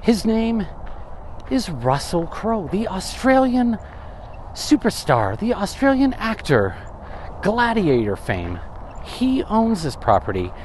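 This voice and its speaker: A man in his thirties talks his way through an outdoor walking tour, his pitch bouncing up and down with every remark. He takes his time, unhurried and slow, tossing in wry asides and questions to himself. There's a faint hiss of open-air noise behind him on a handheld mic. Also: wildly swooping pitch, a little mellow. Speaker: travel vlogger. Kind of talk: casual walking tour narration. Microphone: camera or phone mic, handheld.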